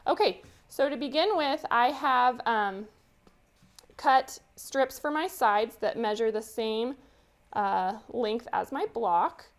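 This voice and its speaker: A woman's voice: on the high side, animated, slow. Chatty woman teaching quilting. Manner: chatty, giggly, explains step by step. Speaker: quilting tutorial host. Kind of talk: live sewing demonstration.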